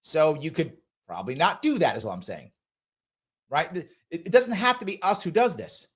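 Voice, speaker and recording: A man's voice: on the high side, sing-song, normal speed, a bit bright, breathy edge. A man hosting a live talk show, reading viewer comments aloud and arguing his points emphatically, often tagging "right?" onto his sentences. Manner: emphatic, conversational, repeats himself, frequent "right?". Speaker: host.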